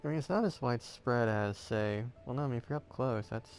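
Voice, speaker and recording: A man's voice: mid-range voice, brisk, soft background music. A man streaming a game in casual chatter, muttering as he thinks aloud.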